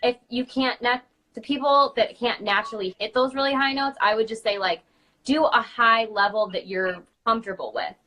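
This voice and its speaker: A woman with a bright, crisp-edged voice pitched a little high chats casually as a co-host. She is informal and agreeable, with a giggly way of talking. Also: steady tone, normal speed, low-quality recording. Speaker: children's party princess performer, co-host. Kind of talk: casual chat.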